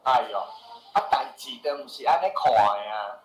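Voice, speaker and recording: An actor with a Taiwanese accent playing a skeptical, boastful house seller who grumbles and argues in a radio drama. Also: male voice, mid-range voice, lively intonation, moderate pace, slight background noise, close to an everyday voice. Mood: defensive, boastful. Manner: scoffing, exclamatory, argumentative. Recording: roomy echo with music behind.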